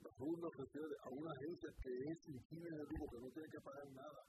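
A Dominican-born man hosting a talk show, quick and animated with teasing interjections. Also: a little high, noisy background. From Dominican Republic. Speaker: host. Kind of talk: casual radio talk show.

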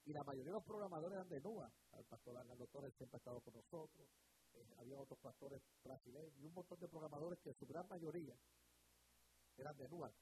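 A man's voice: on the high side, dark tone, low-quality recording. A middle-aged man from Rico, a radio pastor preaching fervently, repeating words and drawing out key phrases. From Puerto Rico. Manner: fervent preaching with repetitions and drawn-out emphasis. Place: radio studio. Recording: hissy broadcast recording.

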